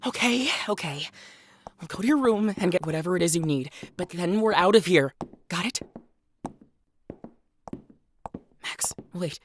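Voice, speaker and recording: An actress playing a forceful, protective woman in an audio drama, urgent and demanding. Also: lowish voice, animated, normal speed, a little hiss or hum, close to an everyday voice. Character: protective, forceful, sweary woman, fiercely determined.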